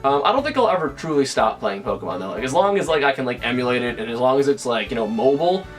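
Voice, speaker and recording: A man's voice: mid-range voice, sing-song, fast talker, soft background music. Rambling young man vlogging. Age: young adult. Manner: rambling, full of restarts and fillers. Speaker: YouTuber. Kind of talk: casual vlog talk.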